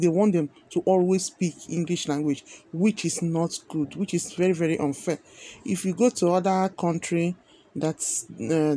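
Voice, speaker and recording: Nigerian man, mellow low-key tone, earnest commentary, repeats points, lots of fillers. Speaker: video commentator. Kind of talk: opinionated commentary.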